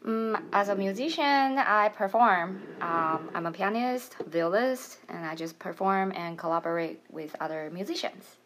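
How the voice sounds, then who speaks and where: A woman's voice: mid-range voice, measured pace, few pauses, fairly bright tone, a little hiss or hum. A woman from Taiwan, a musician answering interview questions conversationally. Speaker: interview guest, musician and piano teacher.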